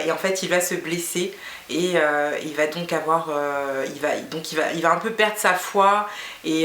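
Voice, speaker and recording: A woman's voice: low voice, fairly bright tone, echoey room, slight background noise. A woman in her thirties from Guinea whose first language is Fula, chatting casually to camera with many fillers and restarts. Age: 30s. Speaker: beauty vlogger.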